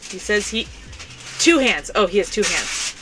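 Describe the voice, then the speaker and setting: Young woman chatting while she crafts, rambly, lots of ums, mid pitch, bit of noise behind. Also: expressive, a little slow, pushed and bright, rough recording. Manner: chatty, rambling, with frequent fillers. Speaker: crafting channel host. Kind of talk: casual chat while crafting.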